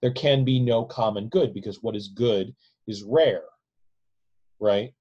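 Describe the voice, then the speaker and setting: A man's voice: on the low side, unhurried, mellow, husky, highs cut off. A man in his forties giving a casual, discursive lecture from a home room into a close webcam, often adding "right?" to his points. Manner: discursive, frequent "right?" tag questions. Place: home room with bookshelves, indoors. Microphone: webcam at close range.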